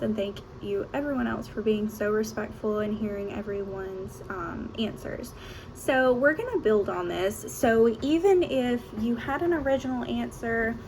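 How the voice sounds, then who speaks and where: A woman's voice: on the high side, creaky, noisy background. Woman teacher giving classroom instructions. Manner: upbeat and encouraging, with frequent "um"s. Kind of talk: classroom instruction, practice lesson.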